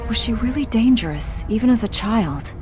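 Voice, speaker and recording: A woman's voice: fairly high pitch, lively intonation, moderate pace, music in the background, lightly acted. An actress playing a composed, heroic female knight in a space fantasy game, asking things directly. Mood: probing. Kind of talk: video game dialogue acting.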